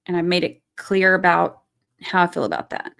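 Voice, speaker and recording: A woman's voice: lowish voice, lively intonation, normal speed, vocal fry, a bit bright. A woman hosting a casual livestream, reading viewer comments aloud and reacting to them in a chatty way.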